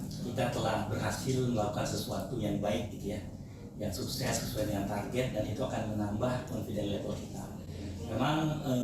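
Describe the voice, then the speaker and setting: A man giving methodical explanatory answers as an interview guest. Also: mid-range voice. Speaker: company president director, podcast guest. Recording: very echoey room, distant mic, noisy.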